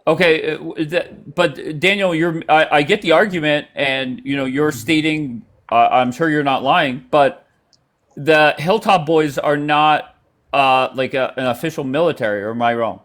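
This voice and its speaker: A man's voice: on the high side, moderate pace, fairly bright tone. Man moderating a live debate, cutting in. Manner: keeps cutting in to steer turns, swears. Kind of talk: casual live-stream debate.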